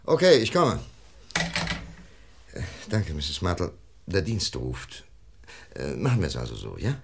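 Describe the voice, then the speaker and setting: An actor playing a calm, reassuring police inspector in a German radio crime play, measured and methodical. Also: male voice, low voice, gravelly, a little hiss or hum, exaggerated voice. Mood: businesslike.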